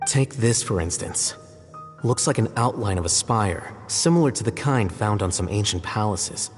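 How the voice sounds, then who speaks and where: A man playing an aloof, scholarly young man in an anime video game, measured, formal and deadpan. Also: fairly low pitch. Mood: analytical. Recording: long reverb, music behind.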